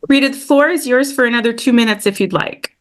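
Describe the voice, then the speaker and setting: A woman's voice: fairly high pitch, quick pace. A woman chairing a public meeting over a video call, upbeat and effusive, with frequent fillers. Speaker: committee chair.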